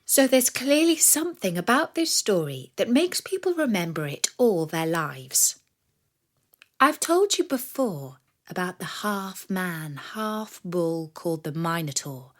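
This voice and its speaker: A woman reading a scripted story for children in a measured, expressive storyteller's manner. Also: fairly low pitch. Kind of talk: scripted narration of a myth for children.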